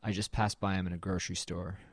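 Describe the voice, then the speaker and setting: A man's voice: sits low, flat delivery, fast, no echo, clean studio sound. A man playing a brooding, melancholy artist who narrates an audio drama in a reflective, understated, slightly hesitant way. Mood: brooding, uneasy.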